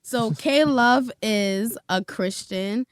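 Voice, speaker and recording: A woman's voice: fairly high pitch, slow, no room sound, clean studio sound. A woman co-hosting a podcast, reading a guest introduction in a light, friendly way. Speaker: podcast co-host.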